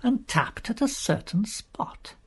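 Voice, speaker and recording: A man narrating a mystery story as an audiobook reader, in a theatrical, drawn-out way with hushed passages and a husky, breathy voice. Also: high voice, animated.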